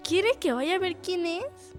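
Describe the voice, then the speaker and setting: A man's voice: very high voice, animated, measured pace, soft background music, pitched above the performer's own voice. A man voicing an anxious, deferential housemaid in an audiobook. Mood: alarmed. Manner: deferential, alarmed. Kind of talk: audiobook character voice.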